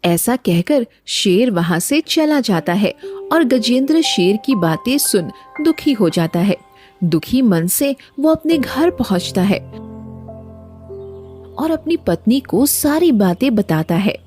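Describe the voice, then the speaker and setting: A woman's voice: fairly high pitch, very animated, takes it slowly, a little mellow. A woman storyteller delivers scripted narration for a children's cartoon tale. She serves as the narrator between scenes. Faint music runs under the voice.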